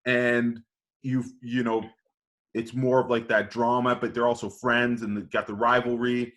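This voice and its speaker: A man on a podcast panel casually pitching ideas, hesitant as he thinks aloud. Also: little pitch movement, a little slow, fairly bright tone.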